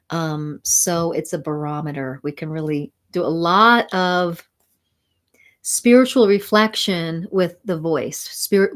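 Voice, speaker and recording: A woman hosting a spiritual sound healing livestream, talking slowly and soothingly while reading viewer comments and laughing softly. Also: lowish voice. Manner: slow, soothing, reflective, with soft laughs and restarts.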